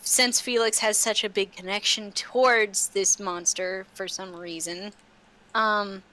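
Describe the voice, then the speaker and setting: A woman running a tabletop roleplaying game as game master, speaking haltingly and often repeating words. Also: medium pitch, fairly even intonation, pushed and bright, rough recording.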